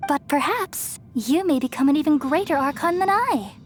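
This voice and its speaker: A woman playing a gentle, wise, ancient tree goddess in a video game, speaking in a measured, reassuring and solemn way. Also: high-pitched, expressive. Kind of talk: dramatic game voice acting. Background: music.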